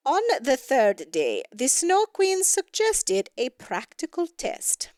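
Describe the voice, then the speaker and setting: A woman narrating a scripted fairy tale with expressive, deliberate emphasis. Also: high voice, dry recording, studio quality. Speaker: story narrator.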